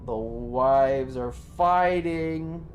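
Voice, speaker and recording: A man's voice: mid-range voice, drawn-out words. A man livestreaming a game, chatting casually with viewers in a playful, teasing, sweary way. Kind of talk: casual chat with viewers during gameplay. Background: video game music.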